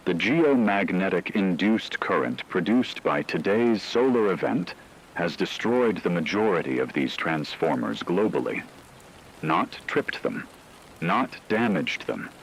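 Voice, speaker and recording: A man's voice: low-pitched, a bit bright, a little hiss or hum, full character voice, low-quality recording. A man playing a grave technical correspondent on an emergency radio broadcast, precise and formal in a scripted news report.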